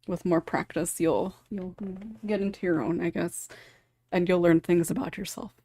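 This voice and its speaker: A woman's voice: fairly low pitch, steady tone, normal speed, mellow, no echo. A woman hosting a casual panel discussion, moving between questions and guests as moderator. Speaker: podcast host and moderator.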